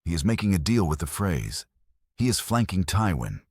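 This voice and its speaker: Storybook role: man playing a cold, calculating general, extremely deep with a rough edge, clipped orders. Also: big pitch swings, normal speed, dry recording, exaggerated voice. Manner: terse, commanding, analytical. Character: stern, calculating male military commander Napoleon.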